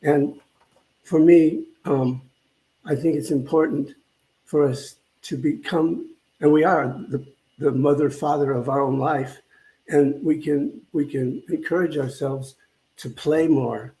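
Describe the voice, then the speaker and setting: Older man, mellow, halting reflective talk. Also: on the high side, fairly even intonation, low-quality recording. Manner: halting, reflective, repeats phrases. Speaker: minister. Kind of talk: conversational spiritual talk.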